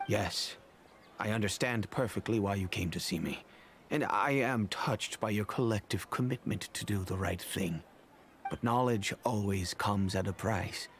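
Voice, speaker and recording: A courteous, fatherly merchant master from a video game. He speaks in a lowish voice with formal, solemn phrasing, over faint noise. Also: measured pace. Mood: solemn warning. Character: grave, courteous middle-aged male merchant master, fatherly.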